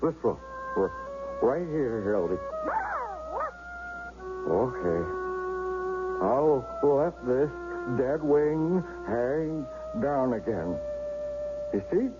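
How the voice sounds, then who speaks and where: A man's voice: mid-range voice, expressive, extremely slow, pauses often, music in the background. An actor playing a paralyzed male artist in a 1970s radio drama, who talks hesitantly and stammers. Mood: bitter. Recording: slightly dull 1970s radio broadcast with faint hiss.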